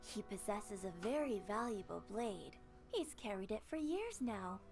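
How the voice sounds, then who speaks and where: A woman's voice: mid-range voice, big pitch swings, a little slow, a little mellow, soft background music. A woman voicing a polite, shrewd shopkeeper in a video game, courteous and coyly mercantile. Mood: musing. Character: polite, shrewd female grocery shopkeeper with teasing composure. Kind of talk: game character dialogue.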